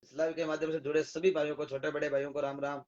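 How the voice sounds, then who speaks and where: Hoarse Rajasthani man, lo-fi stream. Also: a little high, little pitch movement, fast, a little mellow. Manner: rattles off viewers' names with thanks and greetings. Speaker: devotional singer hosting a live stream. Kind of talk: casual live-stream greetings.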